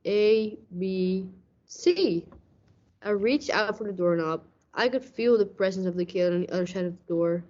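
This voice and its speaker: A woman plays a brooding police detective in a radio play. The delivery is dramatic, deliberate first-person noir storytelling. The recording is a bit dull and boxy. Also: mid-range voice, a little mellow, close to an everyday voice. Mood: tense, suspenseful. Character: hard-boiled, brooding San Francisco police detective lieutenant. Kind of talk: hard-boiled detective narration. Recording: dull lo-fi audio.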